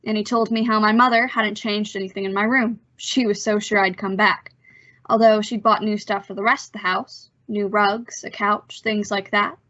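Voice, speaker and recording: A woman acting as an earnest, bashful teenage boy in an old-time radio drama, halting and nervous. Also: medium pitch, a bit bright, muffled recording, natural voice. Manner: halting, nervous stammer with sheepish delivery.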